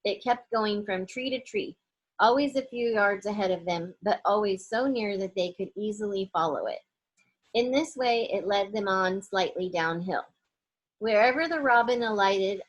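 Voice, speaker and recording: A woman's voice: mid-range voice, normal speed, low-quality recording. A woman reading a children's story aloud as a narrator. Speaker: storybook reader.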